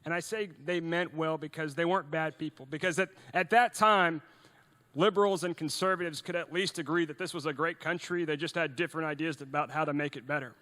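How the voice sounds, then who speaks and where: A young man from Norway, addressing a crowd with earnest conviction and fairly high pitch. He stumbles over restarts and throws in rhetorical questions. His voice rings with the long reverb of a large hall. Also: fairly even intonation, a little fast, pushed and bright. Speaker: event speaker. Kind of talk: rousing speech to a youth audience.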